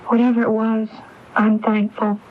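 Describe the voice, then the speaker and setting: An actress playing a grieving but resolute widow in a radio drama, speaking in a measured way. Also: female voice, fairly high pitch, completely flat delivery, close to an everyday voice. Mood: grieving, controlled. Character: determined, composed grieving widow. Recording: muffled AM radio, faint noise.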